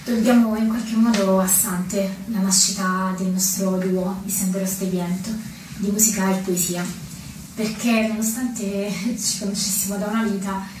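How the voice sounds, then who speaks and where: A woman's voice: mid-range voice, darkish tone, slight background noise. A woman who performs poetry in a music duo, speaking as for a recital audience. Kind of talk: spoken introduction and dramatic poetry recital with music. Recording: very echoey, distant from mic. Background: plucked guitar music.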